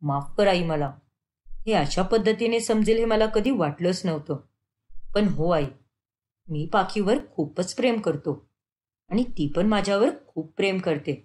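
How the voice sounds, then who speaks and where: A woman's voice: medium pitch, measured pace, a little mellow, voice pushed down, natural voice. A woman voicing an earnest, pleading young man in love, a son in a cartoon moral story.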